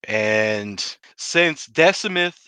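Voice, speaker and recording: A man's voice: lowish voice, expressive, extremely slow, sharp tone, clean tone, no echo. A man talking casually as a player in a tabletop game, dry and matter-of-fact.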